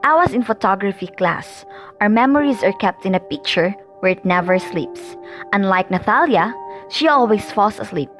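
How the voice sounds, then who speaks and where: A woman's voice: medium pitch, animated, normal speed, fairly bright tone, close to an everyday voice. A friendly, chatty schoolgirl who never sleeps, played by a woman, with faint music under the radio-play scene. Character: sleepless, friendly girl photographer.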